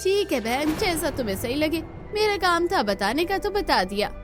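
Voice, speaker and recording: A woman puts on a nosy village neighbour for a cartoon story. She sounds very high, gossipy and concerned, over music. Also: very animated, moderate pace. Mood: prying concern.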